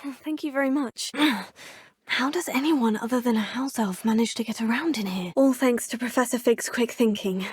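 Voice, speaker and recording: A woman's voice: fairly high pitch, moderate pace, a little mellow, no room sound, studio quality. An actress voicing a young female student witch in a video game, speaking in a polite, proper way. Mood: poised. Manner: polite, proper, crisp phrasing. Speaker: player character.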